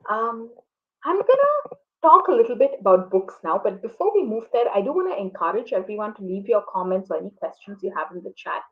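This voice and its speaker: A woman's voice: mid-range voice, sing-song, a little fast. Reflective woman on a muffled call. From India. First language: Tamil. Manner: reflective, many ums and restarts. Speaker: moderator. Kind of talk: online book conversation. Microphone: video call. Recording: dull lo-fi video call audio.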